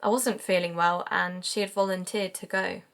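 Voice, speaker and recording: A woman's voice: lowish voice, fairly even intonation, normal speed, gravelly, a bit bright. A woman playing a young amateur-detective podcaster in an audio drama, speaking in a natural conversational way. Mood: serious.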